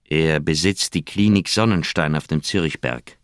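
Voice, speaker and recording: An actor playing a conscience-stricken old doctor in a German radio crime drama, speaking in an earnest, heavy, troubled manner. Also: male voice, a bass voice, normal speed, clear, resonant tone, no room sound, studio quality. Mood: reluctant. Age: older.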